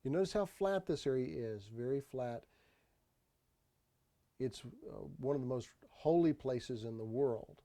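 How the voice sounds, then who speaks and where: A man giving an unscripted tour voice-over, explaining sights in a halting way and often repeating his phrases. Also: mid-range voice, warm tone. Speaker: tour narrator. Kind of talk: unscripted travel video voice-over.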